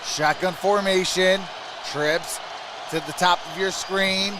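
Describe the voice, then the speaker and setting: A man's voice: high-pitched, fairly even intonation, slow, a crowd in the background, noisy background. A man hosting a live sports stream, calling the plays and reacting in short clipped phrases. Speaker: live stream host and play-by-play caller.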